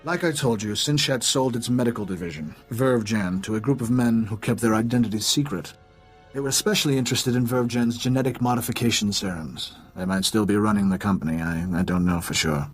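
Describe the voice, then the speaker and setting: Casting: pompous crooked tycoon for a game, imperious bluster with a panicky edge, faint music underneath. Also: male voice, on the low side, a little fast, slightly rough. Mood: weakened, submissive. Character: pompous wealthy crime-linked businessman, imperious yet panicky. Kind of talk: video game voice acting.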